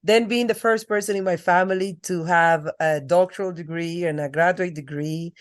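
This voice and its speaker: A woman's voice: lowish voice, normal speed. A middle-aged woman from the Republic, a podcast guest telling her life story earnestly, with restarts. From Dominican Republic. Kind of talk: podcast interview.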